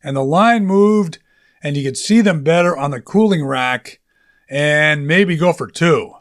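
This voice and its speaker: Man narrating fiction in a higher-pitched voice. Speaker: audiobook narrator. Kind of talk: scripted fiction narration, conversational storytelling.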